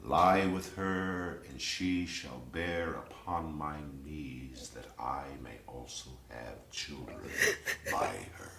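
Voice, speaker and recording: Solemn household commander: extremely low, slow, formal delivery, audio drama. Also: male voice, creaky, reverberant, noisy background, full character voice. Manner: formal, measured, deliberate. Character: solemn older male commander, master of the household. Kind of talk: audio drama acting, scripture reading.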